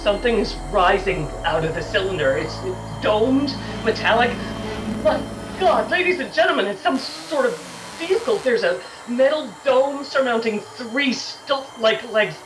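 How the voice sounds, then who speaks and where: A high female voice, set back from the microphone with music behind it. A woman acts out a frantic radio correspondent at the scene of an alien attack, breathless and halting, in old-time 1930s radio drama style. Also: lively intonation, far from the mic, poor audio. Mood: awed, alarmed. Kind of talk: 1930s radio drama acting, on-the-spot news report.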